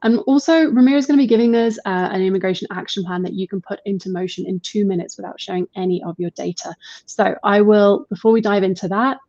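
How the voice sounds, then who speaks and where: A woman's voice: medium pitch, fairly even intonation, quick pace, mellow, dry recording. A chatty, upbeat woman hosts a live online session. Her voice comes through dull and bone-dry, like webcast audio. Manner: upbeat, chatty, laughs easily. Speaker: host and marketer.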